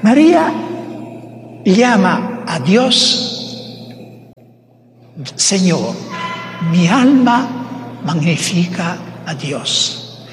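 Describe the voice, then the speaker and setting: High-voiced slow preacher, non-native Spanish. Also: male voice, big pitch swings, big-room sound, faint music behind. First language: not Spanish. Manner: slow, halting, searches for words. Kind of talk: sermon.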